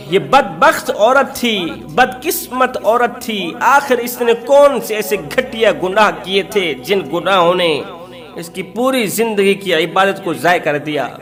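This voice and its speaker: A male preacher delivers an emotional moral story in a very high-pitched voice. He tells it dramatically and repeats his phrases to drive them home. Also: a bit bright. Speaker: preacher telling a moral tale. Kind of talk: emotional Urdu sermon storytelling.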